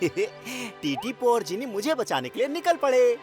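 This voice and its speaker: High, boyish, excitable cartoon diesel engine. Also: female voice, unhurried, music in the background. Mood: cheerful. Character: loyal, excitable young diesel locomotive in a cartoon. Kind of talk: animated children's cartoon dub.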